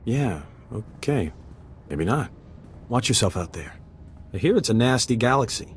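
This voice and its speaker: A man's voice: mid-range voice, big pitch swings, a little slow, slight background noise. A man playing an easygoing undercover operative in a video game, talking informally with an earnest, hesitant manner. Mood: wistful. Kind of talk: video game voice acting, casual dialogue.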